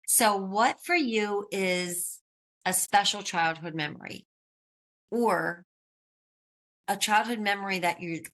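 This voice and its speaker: Bright-voiced American woman hosting a podcast. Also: medium pitch, slow pace, pauses often. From United States. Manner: chatty, laughs often, reflective. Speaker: podcast host. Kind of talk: casual interview chat.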